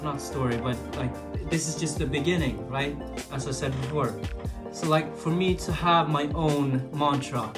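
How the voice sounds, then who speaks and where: A man from Sweden speaking English with a Swedish accent, musing in a casual vlog with frequent restarts and filler words. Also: fairly high pitch. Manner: hesitant, many restarts and filler likes. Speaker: vlogger. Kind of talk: reflective casual monologue. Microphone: built-in camera mic after external mic died. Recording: talk over clear background music.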